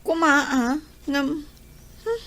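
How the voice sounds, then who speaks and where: A woman's voice: high-pitched, expressive, drawn-out words, fairly bright tone, full character voice. A woman acting an anxious, emotional young lover in a radio drama, with an Ilocano accent. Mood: worried. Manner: emotional, plaintive. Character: Lilia, anxious, heartbroken young pregnant woman, betrayed lover.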